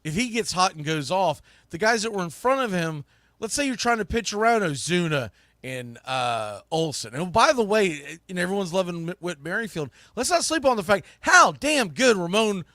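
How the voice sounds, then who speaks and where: A man's voice: sits high, sing-song, normal speed, bright, forceful tone, no room sound, clean studio sound. A man hosting a live sports talk podcast, speaking casually and emphatically with informal, opinionated, mildly salty phrasing.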